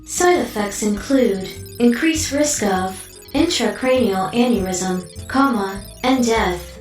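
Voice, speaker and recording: A woman playing a calm computer assistant in an audio drama, reciting data in a measured, formal, impassive way. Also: fairly high pitch. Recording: echoey, distant sound over faint music.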